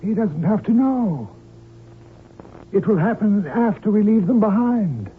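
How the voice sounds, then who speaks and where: An actor playing a fanatical, menacing cult reverend in an old radio drama, pompous and preaching in biblical phrases. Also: male voice, high-pitched, expressive, unhurried. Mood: cold menace. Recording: tinny band-limited old radio recording, faint noise.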